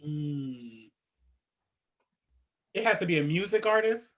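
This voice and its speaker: A young woman chatting casually as host, sassy and animated, laughing often. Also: on the low side, slow. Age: young adult. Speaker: podcast host. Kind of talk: casual chat.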